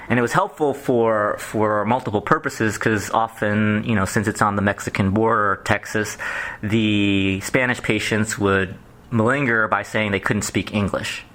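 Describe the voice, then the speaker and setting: Man, slightly low pitch, forceful bright tone, job applicant, halting rambling explanations, phone interview. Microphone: telephone.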